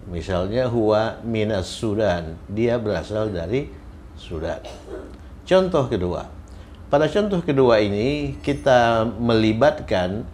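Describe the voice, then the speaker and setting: Male teacher, slow deliberate delivery, repeats examples patiently, mid-range voice. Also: medium pitch, darkish tone. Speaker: Arabic teacher. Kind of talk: recorded grammar lesson.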